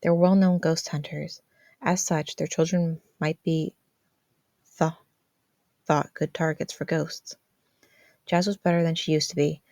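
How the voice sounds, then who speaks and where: A woman's voice: deep voice, darkish tone, no echo. A woman reading scripted fan fiction narration as a podfic narrator.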